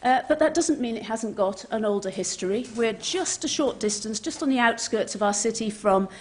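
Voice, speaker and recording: A woman's voice: on the high side, lively intonation, normal speed, darkish tone. Woman giving a conference talk. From Wales. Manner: informal, friendly asides to the audience. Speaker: conference speaker, heritage official. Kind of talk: prepared conference presentation to an audience. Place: conference venue, speaking to an audience.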